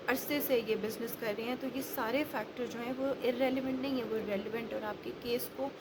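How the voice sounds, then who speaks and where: A woman's voice: fairly high pitch, fairly even intonation, fairly quick. Woman explaining law over background noise. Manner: conversational, explains with examples, many fillers. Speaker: law lecturer.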